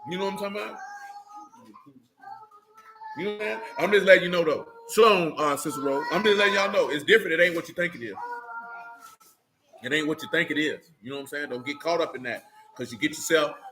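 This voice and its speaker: A man's voice: on the high side, fast talker, long pauses, music in the background, poor audio. A man with speech teaching a religious group informally, emphatic and streetwise, often checking in with his listeners.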